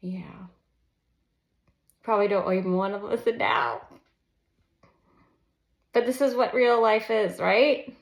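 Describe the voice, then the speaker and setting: A woman in her early forties speaks off the cuff to her viewers. Her voice has noticeable creak and an average pitch for a woman. She sounds candid, self-conscious and a little nervous, in a roomy, echoing indoor space. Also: slow pace, pauses often. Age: early 40s. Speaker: counselor and children's book author. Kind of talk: unscripted personal vlog, reading own manuscript. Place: indoors at a desk, live unedited home recording. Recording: roomy echo of an untreated room.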